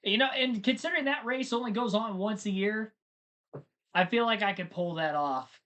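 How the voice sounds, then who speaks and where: A man's voice: sits high, moderate pace, a bit bright. A man hosting a live race stream, chatting casually and rambling to his viewers between race updates. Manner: chatty, rambling, talks to chat.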